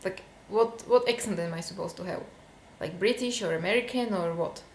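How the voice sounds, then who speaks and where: A woman's voice: medium pitch, fairly quick, darkish tone, echoey room, a little hiss or hum. A young woman from Slovakia with a Slovak accent, venting casually to camera in a vlog, with many fillers. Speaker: vlogger.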